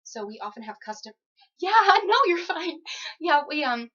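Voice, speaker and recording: A woman's voice: high voice, very animated, normal speed, sharp tone. A young adult woman giving conversational answers as a job applicant in a phone interview, with a polite, easygoing, giggly manner. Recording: dull lo-fi phone interview recording.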